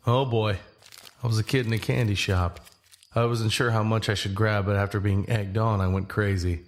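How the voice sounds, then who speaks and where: A man's voice: fairly low pitch, steady tone, normal speed, close to an everyday voice. A man playing a laid-back young traveler, telling his story in a plain, conversational first-person narration. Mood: easygoing, reflective. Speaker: narrator and lead. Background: guitar music.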